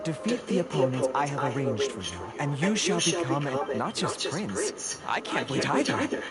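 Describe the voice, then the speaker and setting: A man's voice: a little high, big pitch swings, moderate pace, over a noisy crowd. A man voicing a regal, demanding cat prince as he reads video game dialogue aloud in a formal, commanding manner. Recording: loud game music behind.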